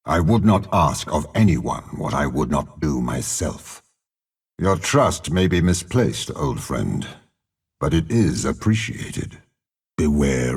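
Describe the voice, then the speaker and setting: An actor playing a ruthless, imperious older warlord bound to a demon in a video game, with a rasping voice and a menacing, haughty delivery. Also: male voice, darkish tone, heavily acted. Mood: calm disdain. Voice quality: very deep, gravelly, rasping. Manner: slow, measured, menacing, haughty. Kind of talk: dramatic video game character voice lines.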